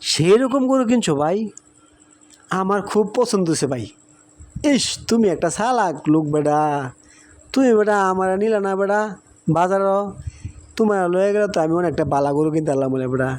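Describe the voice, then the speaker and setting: A man's voice: high-pitched, expressive, fairly quick, long pauses, a little mellow, no echo. A man voicing a cheerful, hospitable village husband in a cartoon, chatty and insistent, with a rural Bangladeshi Bengali accent. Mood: admiring. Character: cheerful, hospitable village husband, boastful about his cattle. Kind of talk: amateur cartoon dialogue.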